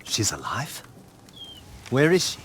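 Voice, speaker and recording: An actor playing a wary, shrewd hunter leader in a dramatic game cutscene, talking in a gruff, clipped, wry manner. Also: male voice, mid-range voice, wildly swooping pitch, takes it slowly, a bit bright, slight background noise, full character voice. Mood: surprised, wary.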